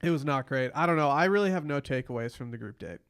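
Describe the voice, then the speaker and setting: A man's voice: mid-range voice, expressive, fast talker, fairly bright tone, clear, resonant tone. A man co-hosting a podcast, talking casually as he recaps a reality show. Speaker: podcast co-host.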